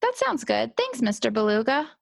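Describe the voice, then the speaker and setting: Female podcast host, high and animated, creaky edge, casual improvised chat, dry close sound. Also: moderate pace, no echo.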